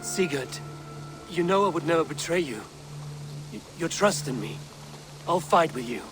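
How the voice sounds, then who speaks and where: An actor playing a blunt, loyal, skeptical Viking warrior in a video game, speaking gruffly. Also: male voice, fairly high pitch, expressive, moderate pace, background noise, close to an everyday voice. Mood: earnest, weary. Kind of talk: video game cutscene acting.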